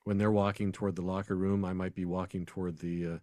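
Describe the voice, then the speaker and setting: A man's voice: deep voice, little pitch movement, fast, dark tone, dry recording. A man speaking as a podcast guest, an arena announcer telling anecdotes in a casual interview.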